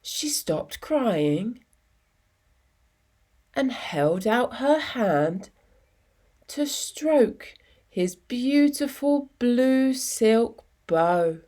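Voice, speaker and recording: Slow, higher-voiced woman reading a children's story. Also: lively intonation, mellow. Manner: deliberate, measured phrasing with dramatic pauses.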